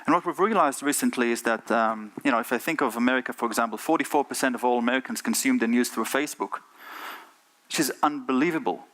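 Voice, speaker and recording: A man's voice: mid-range voice, fast talker, fairly bright tone. Israeli-accented man giving a keynote. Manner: earnest, asks the audience rhetorical questions. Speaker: conference speaker. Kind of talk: keynote talk. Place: conference stage.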